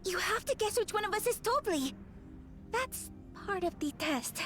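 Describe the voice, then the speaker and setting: A woman's voice: very high-pitched, lively intonation, normal speed, fairly bright tone, soft background music. A woman voicing a small, cute ranch hand in a fantasy game, singsong and instructive. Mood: playful.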